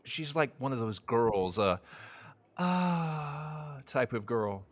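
A man's voice: mid-range voice, lively intonation, slow, breathy edge. An actor playing an awkward, evasive young man on a blind date in an audio drama comedy, hesitant, stammering and apologetic. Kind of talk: audio drama acting, romantic comedy dialogue.

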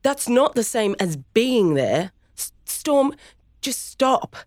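A woman's voice: low voice, normal speed, darkish tone, dry recording. Woman doing a young woman pleading with her family, upset, dry close sound.

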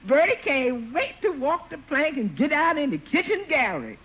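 Eager, folksy female cook; very high, animated voice, muffled old radio comedy. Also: normal speed. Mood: gleeful. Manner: folksy, refers to herself by name. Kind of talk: 1940s-50s radio sitcom acting.

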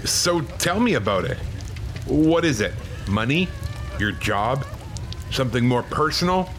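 A man's voice: mid-range voice, very animated, measured pace, fairly bright tone, slight background noise. A man playing a tense police officer in an audio drama, coaxing and urgent as he negotiates. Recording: music and rain under the voice.

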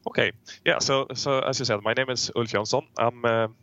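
A man from Sweden with a Swedish accent, answering interview questions in a measured, hesitant way with frequent pauses and repeats. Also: mid-range voice, fairly even intonation, sharp tone, no room sound, lo-fi sound. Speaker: guest, telecom executive.

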